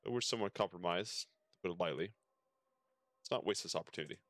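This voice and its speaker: Male game streamer, low voice, dry sound, casual reactions between read-out dialogue. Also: quick pace, fairly bright tone, dry recording.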